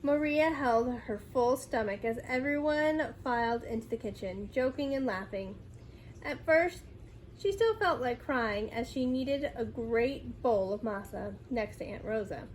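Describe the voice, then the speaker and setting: A woman reading a children's story aloud in an expressive, dramatic way. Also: a little high, measured pace, slight background noise, poor audio. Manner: dramatic, acts out dialogue.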